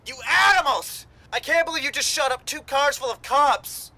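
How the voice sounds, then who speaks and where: A man's voice: extremely high pitch, moderate pace, bright, forceful tone, raised voice, exaggerated voice, rough recording. An actor in a radio drama playing a desperate, guilt-ridden young gangland man who is protective of his lover, earnest and intense. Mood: outraged, horrified.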